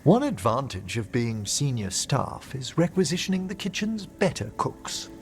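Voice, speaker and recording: An actor playing a courtly, fastidious older gentleman scholar in a video game, speaking tenderly in formal, precise phrases. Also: mid-range voice, sing-song. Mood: warm, concerned. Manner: genteel, formal, precise wording. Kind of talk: video game cutscene acting.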